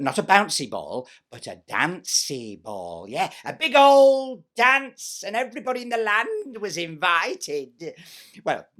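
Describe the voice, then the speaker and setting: A man's voice: medium pitch, a bit bright. A man voicing a vain, bossy, spiteful stepsister in a chatty, self-satisfied comic pantomime monologue. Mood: smug, gossipy.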